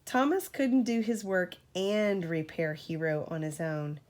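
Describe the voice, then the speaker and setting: A woman reading a storybook aloud to a child as a parent, in an expressive, deliberate storytelling manner. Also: on the low side.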